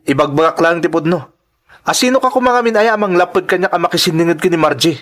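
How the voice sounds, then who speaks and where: An earnest young migrant worker in an audio drama, speaking in a slightly high, sincere voice that turns firm and defensive when challenged. A man plays him straightforwardly, with plain honest warmth toward his family. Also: quick pace, natural voice. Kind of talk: Ilocano audio drama acting.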